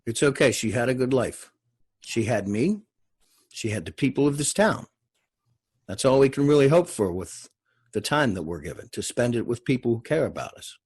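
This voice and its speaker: A man acts out a genial, hefty small-town man in a television drama. He rambles in a folksy, reflective way. The voice is mid-pitched and slightly husky. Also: animated, moderate pace, a little mellow. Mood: gentle, reflective. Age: middle-aged. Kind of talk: table read of television drama.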